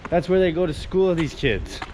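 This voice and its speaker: A man's voice: high voice, normal speed, noisy background. Rambling Canadian-raised man on a walk. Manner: rambling and enthusiastic, many fillers and restarts. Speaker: vlogger. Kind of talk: casual walk-and-talk vlog. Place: outdoors on a coastal town street.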